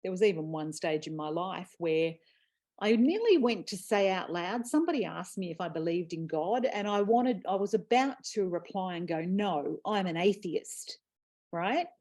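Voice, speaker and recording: A woman's voice: lowish voice, expressive, moderate pace, a little mellow. A woman hosting a casual, reflective conversation, talking earnestly and laughing easily. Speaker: host and author. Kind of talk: casual chat.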